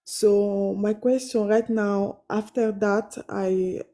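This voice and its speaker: A woman's voice: mid-range voice, fairly even intonation, takes it slowly, dark tone. A woman caller with an Italian and French accent, a non-native English speaker, hesitating and searching for words as she explains a hard situation. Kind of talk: caller on a live stream.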